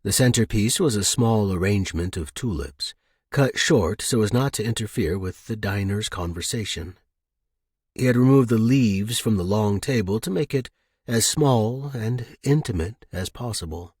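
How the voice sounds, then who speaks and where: Male fiction narrator: husky, mid-pitched, measured reading, dry close recording. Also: expressive, a little mellow, no room sound. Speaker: audiobook narrator.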